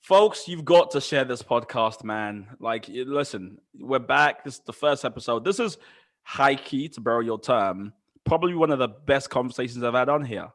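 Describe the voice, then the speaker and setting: An enthusiastic man hosts a casual podcast, talking eagerly and often repeating words or restarting mid-sentence. His voice sits at an ordinary pitch for a man. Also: animated, normal speed, a bit bright. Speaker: podcast host.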